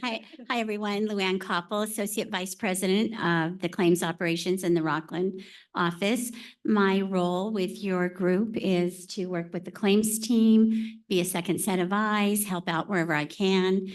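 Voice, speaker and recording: A woman's voice: mid-range voice, steady tone, moderate pace. A woman with a voice she calls cartoonish, introducing herself at a meeting with self-deprecating jokes. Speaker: claims operations vice president.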